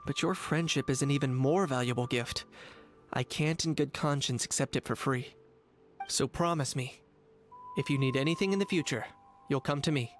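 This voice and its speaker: A man voicing an earnest, loyal young tribesman in an anime game, speaking calmly and sincerely. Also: mid-range voice, moderate pace, faint music behind, close to an everyday voice. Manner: calm, sincere.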